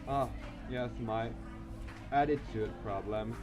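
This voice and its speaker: A man's voice: medium pitch, slow pace, voice pushed down, lightly acted. A man voicing a cocky, sarcastic teenage schoolboy with a flippant manner in a visual novel game. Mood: sardonic. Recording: music behind.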